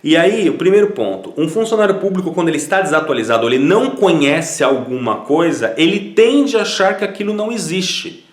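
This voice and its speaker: A man's voice: a little high, animated, brisk, roomy sound. A man from Brazil with a Brazilian Portuguese accent, giving casual, conversational advice to viewers as a citizenship consultant, often repeating words as he restarts.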